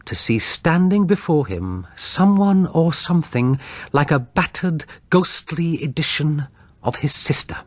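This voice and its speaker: A man narrating a horror audiobook in a dramatic, suspenseful, measured storytelling style with deliberate pauses. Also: mid-range voice, no room sound.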